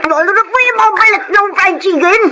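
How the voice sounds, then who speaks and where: Needy, sweet Filipino youngster for radio drama, extremely high pitch, heavy background noise. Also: female voice, a little fast. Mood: eager. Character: poor, hungry young child, affectionate and eager.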